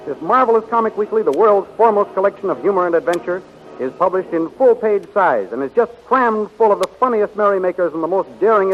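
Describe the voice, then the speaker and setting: A man narrating and announcing in the scripted style of a 1930s radio serial, on a muffled old recording. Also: high-pitched.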